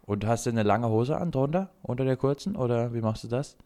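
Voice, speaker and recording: A man's voice: mid-range voice, lively intonation, brisk, dark tone. A young man co-hosting a casual podcast chat, joking and laughing often. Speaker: podcast co-host.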